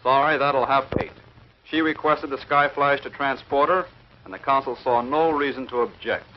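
An actor playing a firm, authoritative president in a 1950s television space serial, speaking formally and curtly. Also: male voice, mid-range voice, moderate pace, close to an everyday voice. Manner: formal, curt, official. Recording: muffled, hissy 1950s film soundtrack.

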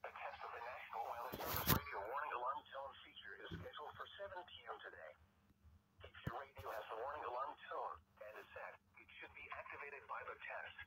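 Synthetic male announcer, scripted weather bulletin, impersonal measured delivery, radio broadcast sound, loud background noise. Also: medium pitch. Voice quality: synthetic text-to-speech voice.

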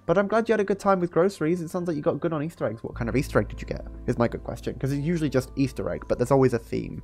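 A man in his twenties in a chatty, expressive livestream storytelling style, speaking close to a studio microphone in a small room. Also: on the high side, very fast. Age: 20s. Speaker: streamer reading a book aloud. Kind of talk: storytelling and casual livestream chat. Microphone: close studio microphone on an arm, with headset. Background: background music.

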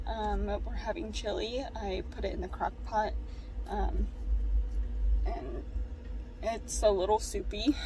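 A woman's voice: mid-range voice, dark tone, distant mic, a little hiss or hum, poor audio. A woman in her twenties chatting casually to her vlog audience from a parked car, rambling and hesitant with lots of ums. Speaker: vlogger. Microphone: phone camera propped low in the car.

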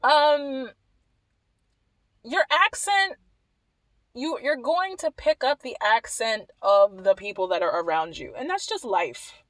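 A young woman from Maryland giving animated, wry commentary to the camera, repeating phrases for emphasis. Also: a little high, slow pace, long pauses, dry recording, poor audio. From Maryland, USA. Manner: animated, wry, repeats herself when emphasizing, laughs at points. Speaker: vlogger. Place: small indoor room against a plain wall.

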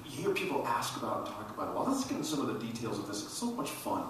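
A man's voice: fairly low pitch, sing-song, fast, fairly bright tone. A man moderating a panel on stage, putting questions in a casual, conversational way. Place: large auditorium stage. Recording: very echoey hall, distant, faint noise.

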